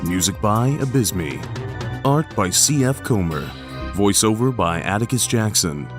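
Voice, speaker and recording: Man announcer, lowish voice, steady credit read over music. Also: unhurried. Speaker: outro announcer.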